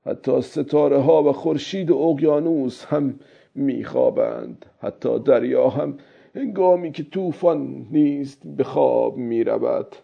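A man's voice: on the high side, gravelly, breathy edge, highs cut off, pitched above the performer's own voice, full character voice. A man voicing a stubborn, weary old fisherman who coaxes himself emphatically in a dramatic audiobook. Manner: exclaiming, self-coaxing, emphatic. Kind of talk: dramatic audiobook character acting.

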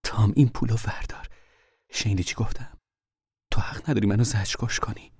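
A man voicing an older mother who fears for her fugitive son, speaking in a hushed, anxious whisper. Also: fairly low pitch, fairly even intonation, creaky, breathy edge, dry recording, clean studio sound, heavily acted. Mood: insistent. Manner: anxious, pleading, protective.

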